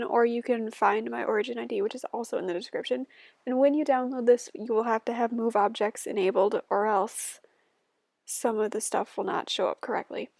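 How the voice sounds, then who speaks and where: Giggly young woman with a gravelly edge. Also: on the high side, a little mellow, dry recording, clean studio sound. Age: 20s. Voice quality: stuffy-nosed. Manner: rambling, giggly, chatty. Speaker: YouTuber. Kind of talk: casual voice-over chat over a game build. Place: at home.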